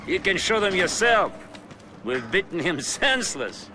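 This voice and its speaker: An actor playing a scornful, boastful gangster thug in a video game. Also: male voice, high-pitched, sing-song, unhurried, pushed and bright, a little hiss or hum, heavily acted. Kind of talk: video game cutscene acting.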